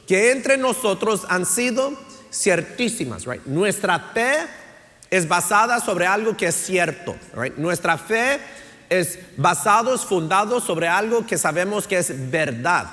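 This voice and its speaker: Preacher in a reverberant church hall. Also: male voice, high-pitched, expressive, measured pace, a bit bright. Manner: switches between Spanish and English, says "right?" often; preaching with rising emphasis, tells stories. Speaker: pastor. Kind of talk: sermon. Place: church sanctuary.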